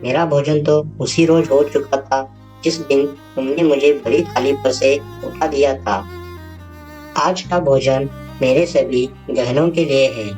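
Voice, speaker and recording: A man's voice: mid-range voice, fairly even intonation, measured pace, darkish tone, voice pushed up, close to an everyday voice. A man voicing a poor, proud, hardworking village mother in a cartoon moral story, plain-spoken and dignified. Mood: proud, reproachful. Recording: distant voice over faint music.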